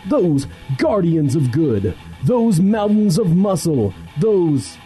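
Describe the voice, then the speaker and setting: A man's voice: on the high side, big pitch swings, slow pace, warm tone. A man playing a grandiose, melodramatic announcer who narrates a parody old-time radio adventure serial. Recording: music behind the voice.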